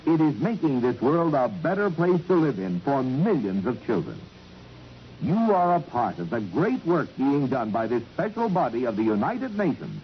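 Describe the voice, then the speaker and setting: A man with an American accent reading a scripted public service appeal as a 1950s radio announcer. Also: mid-range voice. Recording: muffled old radio recording, faint noise.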